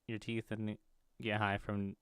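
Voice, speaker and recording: A man's voice: on the low side, flat delivery, a little slow, fairly bright tone, clean tone, no room sound, clean studio sound. A man hosting a gaming video, reading visual novel dialogue aloud and now and then stumbling over the words.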